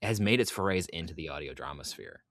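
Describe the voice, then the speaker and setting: Man, low pitch, dry close sound, podcast interviewer, casual chat, wry asides. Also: animated, fast, a bit bright, dry recording.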